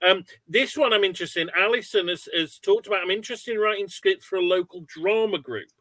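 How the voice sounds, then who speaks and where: A man's voice: high voice, fairly quick, pushed and bright, no echo. A man hosting an online webinar, informally reading out audience questions and thinking aloud as he goes. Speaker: webinar host.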